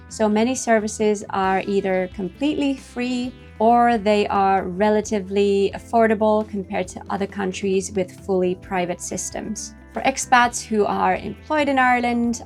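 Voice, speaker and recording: Woman explaining, music behind. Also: medium pitch. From Finland. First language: Finnish. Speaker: host of an expat advice video. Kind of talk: scripted informational explainer.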